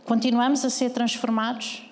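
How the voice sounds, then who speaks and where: A woman's voice: a little high, level tone, moderate pace, long reverb tail. A woman pastor with a European Portuguese accent preaching a sermon, earnestly questioning the congregation.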